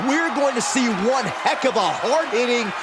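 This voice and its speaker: A man giving excited wrestling commentary for a video game. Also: very high-pitched, moderate pace. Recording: loud arena crowd and noise behind. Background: roaring crowd.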